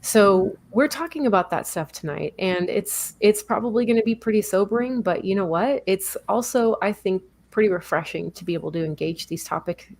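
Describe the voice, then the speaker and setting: A woman's voice: medium pitch, lively intonation, normal speed, creaky, few pauses, darkish tone. A woman hosting a live online talk show, earnest and explanatory, introducing her co-host and guest over a video call. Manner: earnest, explanatory, with some fillers and self-corrections.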